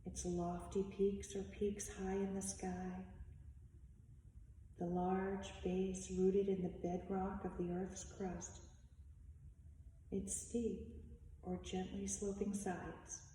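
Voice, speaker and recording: A woman leading a guided meditation as a teacher, speaking in measured, instructive short phrases with long pauses. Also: medium pitch, little pitch movement, mellow. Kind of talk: slow guided meditation narration. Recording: echoey reverberant room, distant mic, faint noise.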